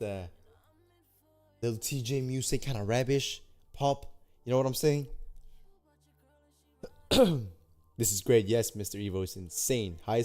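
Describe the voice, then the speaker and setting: A man's voice: on the low side, mellow. A young man from Denmark, livestreaming as he demos an app builder, chatting with his viewers in slangy, hyped, repetitive talk. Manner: hyped, slangy, talks to chat, repeats himself. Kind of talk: casual livestream chat with viewers.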